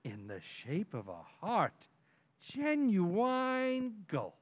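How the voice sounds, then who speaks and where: A sly, needling working-class neighbour in a radio comedy, acted by a man. His voice is high for a man and very animated, wheedling and slangy. The recording is somewhat dull and lo-fi. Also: drawn-out words, full character voice. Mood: teasing. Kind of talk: old-time radio comedy acting.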